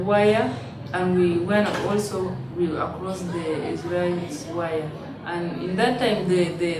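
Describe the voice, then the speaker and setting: A woman, telling her story in halting, simple English with frequent restarts. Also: lowish voice. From South Sudan. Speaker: interviewee, refugee. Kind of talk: personal testimony in an interview. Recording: roomy, distant mic, noisy ambience.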